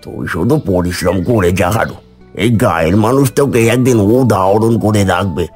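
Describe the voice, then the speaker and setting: A man's voice: fairly low pitch, unhurried, darkish tone, faint music behind, natural voice. A man voicing a kindly, pious older village uncle who gives encouragement in a cartoon story. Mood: kindly, encouraging.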